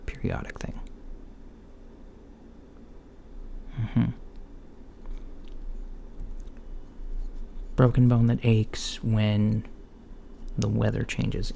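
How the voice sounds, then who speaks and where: A soft-spoken man playing a mild, curious alien doctor in an ASMR roleplay, hesitant and politely clinical, often dropping toward a whisper. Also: mid-range voice, gravelly. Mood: gentle, attentive. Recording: lo-fi with faint background noise.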